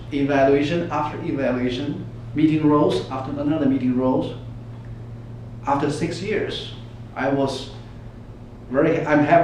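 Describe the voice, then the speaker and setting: A higher-voiced man delivers a storytelling speech in a theatrical, emphatic way. He sounds far from the microphone in a very echoey room, and he throws out rhetorical questions as a public speaker does. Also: a little mellow, slight background noise. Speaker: club speech contestant. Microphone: distant microphone.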